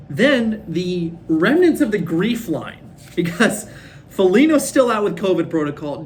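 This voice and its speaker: A young man from Minnesota hosting a casual fan recap, rambling and excitable, his voice a bit worn from screaming. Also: on the high side, slight background noise. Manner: rambling, excitable, lots of fillers. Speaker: fan podcast host. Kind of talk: casual solo recap of a hockey game.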